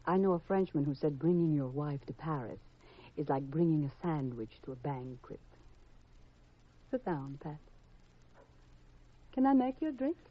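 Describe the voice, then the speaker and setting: An actress playing a flirtatious, worldly and evasive femme fatale in a 1950s radio crime drama, coy and teasing, with deliberate hesitations. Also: female voice, low-pitched, expressive. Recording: dull vintage radio recording.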